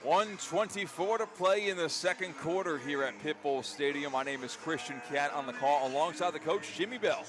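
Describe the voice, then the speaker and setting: Higher-pitched man calling live football. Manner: excitable, rising to shouts on big plays. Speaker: play-by-play commentator. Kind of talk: live radio football commentary. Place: outdoor high school football stadium. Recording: faint outdoor stadium ambience noise. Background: faint outdoor ambience.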